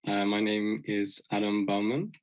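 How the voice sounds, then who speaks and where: A young man from Sweden introduces himself on a student panel. His voice is fairly low, and he speaks in a relaxed way with small pauses. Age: young adult. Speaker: panelist, third-year student.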